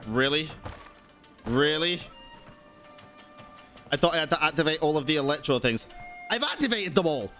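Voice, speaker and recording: A man streaming a game with a lively, fairly high voice. He comments casually, full of slang and swearing, with game music behind him. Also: normal speed, pauses often. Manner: profane, slangy reactions. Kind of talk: casual live gameplay commentary.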